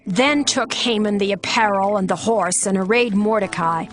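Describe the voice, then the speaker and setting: A woman's voice: medium pitch, moderate pace, soft background music. A woman reading scripted narration for a dramatized scripture recording.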